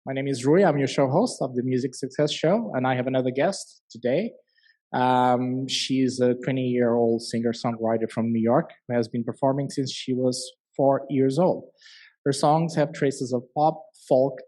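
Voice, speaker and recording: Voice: medium pitch, mellow. A show host interviewing a guest over a video call, often restarting phrases. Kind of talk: interview questions.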